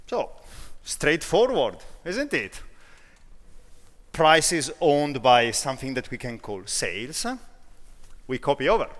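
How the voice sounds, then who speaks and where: A man from Italy with an Italian accent, giving a conference talk in a self-deprecating, lively way with frequent restarts. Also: fairly high pitch, in a large hall. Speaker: conference speaker. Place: large conference hall.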